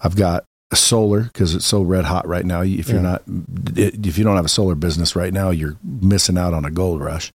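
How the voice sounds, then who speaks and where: A man with a fairly low voice, recorded dry and close. He talks casually, like an entrepreneur guesting on a podcast. He is blunt and sure of himself, with a salesman's turn of phrase. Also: fairly even intonation, brisk, husky, no room sound. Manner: blunt, self-assured, salesman-like, mildly profane.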